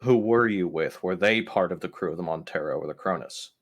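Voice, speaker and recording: A man playing an impatient, blunt spaceship captain in a tabletop game, giving exasperated orders with hesitant pauses. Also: low voice. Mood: demanding.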